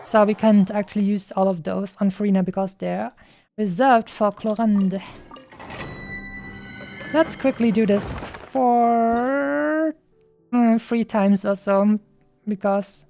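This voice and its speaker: A woman's voice: mid-range voice, dark tone, no room sound. A woman streamer giving casual, chatty gameplay commentary, rambling and thinking aloud as she goes.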